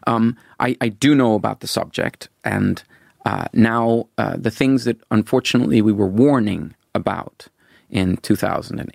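Interview guest: middle-aged man, gravelly, dry close sound, earnest, stumbles on restarts. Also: on the low side, dry recording. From Venezuela. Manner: earnest, repeats words when starting sentences. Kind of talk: political interview.

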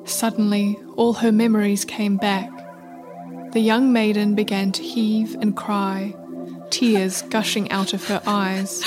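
A woman's voice: mid-range voice, flat delivery, slow. A woman narrating a scripted folktale as the storyteller of a radio play. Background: music.

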